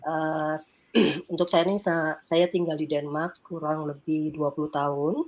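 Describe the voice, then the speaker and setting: Low-voiced woman from Jakarta. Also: flat delivery, measured pace, a little mellow. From Jakarta, Indonesia. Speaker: guest.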